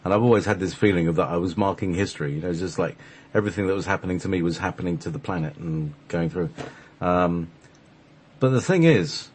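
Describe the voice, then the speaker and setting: A man's voice: low-pitched, fast talker, darkish tone, slight background noise. A man hosting a talk show, chatting casually in a rambling, reflective way.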